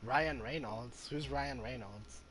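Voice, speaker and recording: A male gaming streamer giving casual playthrough commentary, halting, with non-standard grammar. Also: mid-range voice, background noise. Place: game commentary over game audio.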